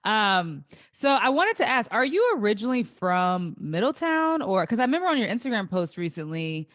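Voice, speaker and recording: Host lady in her late 20s, mid-pitch, dry close mic, chill and encouraging. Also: sing-song, a bit bright, no room sound. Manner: friendly, encouraging, quick backchannels. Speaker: podcast host. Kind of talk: casual interview.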